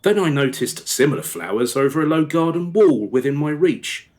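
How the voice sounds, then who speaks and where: Actor playing a remorseful father, animated rambling storyteller. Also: on the high side, normal speed. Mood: wistful, reminiscing. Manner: rambling, over-descriptive, hesitant reminiscing. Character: remorseful married father confessing past affair to his daughter. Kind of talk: radio play dialogue, storytelling monologue.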